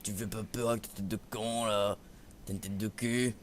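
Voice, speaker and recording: A man's voice: fairly low pitch, little pitch movement, normal speed, heavy background noise, natural voice. A man playing a cowardly, cheeky orc recruit who grumbles and backs down, in a comic fantasy role-play. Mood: cheeky, grumbling. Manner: grumbling back-talk, then timid backing down.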